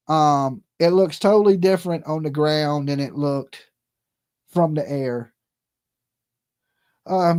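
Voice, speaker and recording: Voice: mid-range voice, a little mellow. Crude, mocking call-in stream host, sex ambiguous. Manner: crude, mocking, slangy, profane; peppers talk with "right?" and "okay". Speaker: live call-in show host. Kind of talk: casual live-stream chat and commentary.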